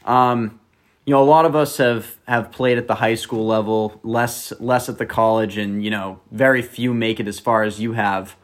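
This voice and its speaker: A man's voice: lowish voice, fairly even intonation, moderate pace. A man interviewing a ballplayer, asking questions in an easygoing, hesitant way with fillers.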